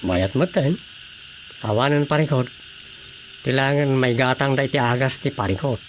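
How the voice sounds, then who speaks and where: Woman doing a low, subdued young man. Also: normal speed, a little hiss or hum. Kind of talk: radio drama acting.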